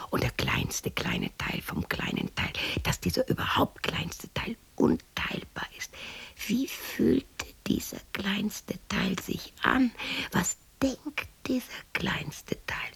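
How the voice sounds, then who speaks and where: A lonely, embittered widow in her sixties in a radio drama. Her voice is very husky and heavily creaky, often sinking toward a whisper. She broods and talks to herself slowly and haltingly. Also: medium pitch, exaggerated voice. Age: 60s. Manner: muttering, brooding, erratic, halting. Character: lonely, embittered sixty-year-old widow talking to herself. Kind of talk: radio play monologue.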